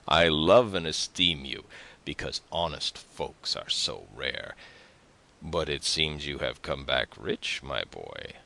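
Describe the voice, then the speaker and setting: A man's voice: very deep voice, animated, slow, gravelly, sharp tone, heavily acted. A man voicing a hearty, sly young tailor in an audiobook, effusive and overfamiliar. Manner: effusive, familiar.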